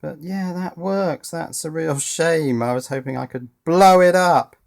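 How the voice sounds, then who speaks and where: A man's voice: a little high, a little slow, gravelly. A man giving unscripted teardown commentary as a hobbyist presenter, thinking aloud with dry, understated humour.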